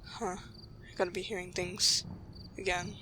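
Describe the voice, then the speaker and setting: A woman's voice: lowish voice, little pitch movement, a little slow, bright, forceful tone, slight background noise. A woman playing a determined, impatient young human child in an audio drama. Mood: puzzled, hushed.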